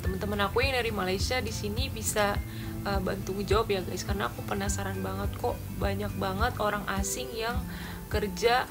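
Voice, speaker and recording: A woman's voice: mid-range voice, fairly even intonation, a little fast. A young woman from Indonesia, talking casually in a vlog clip, chatty with lots of fillers. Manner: chatty, filler-heavy "gitu", "ya". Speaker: vlogger in a played clip. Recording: voice over loud background music.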